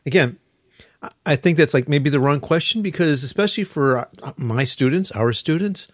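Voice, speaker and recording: A man's voice: fairly high pitch, expressive, normal speed, no room sound, clean studio sound. A man hosting a casual podcast conversation, talking loosely with many fillers and restarts. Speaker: podcast host.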